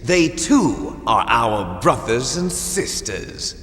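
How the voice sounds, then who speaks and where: Theatrical, menacing game villain, wildly swooping. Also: male voice, medium pitch, very animated, slow pace, fairly bright tone. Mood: solemn, menacing. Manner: dramatic, declamatory, menacing, taunting. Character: theatrical male villain, fanatical rebel leader obsessed with genes. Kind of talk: dramatic video game voice acting. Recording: long hall reverb. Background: game music.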